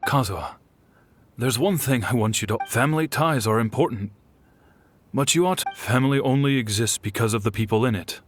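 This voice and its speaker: An actor playing a gentle, solemn middle-aged father of a declining noble clan, speaking in a measured, reflective way in a video game. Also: medium pitch, slight background noise, close to an everyday voice. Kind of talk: English video game voice acting. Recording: music behind the voice.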